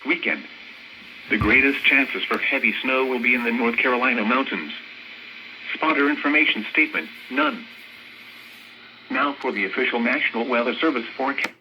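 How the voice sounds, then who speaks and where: Automated male weather bulletin reader, mid pitch, measured and formal, heard over a radio speaker with clear noise. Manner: measured, impersonal bulletin reading. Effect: played through a weather radio speaker. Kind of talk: formal scripted weather forecast.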